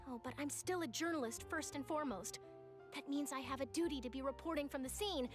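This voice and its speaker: High-pitched eager young woman reporter acted. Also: a little fast, natural voice. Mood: reflective, sincere. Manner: enthusiastic, articulate, theatrical. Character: bright, eager young female journalist, passionate and upbeat. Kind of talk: anime game voice acting. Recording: background music under the voice.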